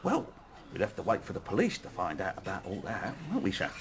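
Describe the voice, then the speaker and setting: A wildly animated, gossipy waiter. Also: male voice, deep voice, a little fast, slight background noise, full character voice. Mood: knowing. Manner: chatty, insinuating, tag questions. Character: gossipy, opinionated male café waiter, chummy and cynical. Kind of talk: radio drama character acting.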